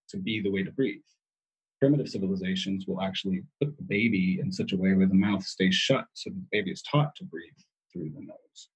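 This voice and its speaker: A man's voice: lowish voice, husky, low-quality recording. A man speaking as an interview guest, explaining in an emphatic way and often repeating his key words.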